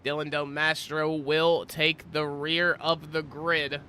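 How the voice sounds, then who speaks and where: A man doing play-by-play commentary for a simulated racing broadcast, slightly stuffy-nosed from a cold, with his pitch climbing during close battles. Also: little pitch movement, slow, sharp tone, a little hiss or hum. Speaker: race commentator.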